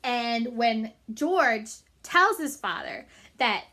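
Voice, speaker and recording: A woman storyteller with a high, bright voice. She addresses young listeners in an animated, emphatic read-aloud style with chatty asides. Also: very slow. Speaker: storyteller reading to children.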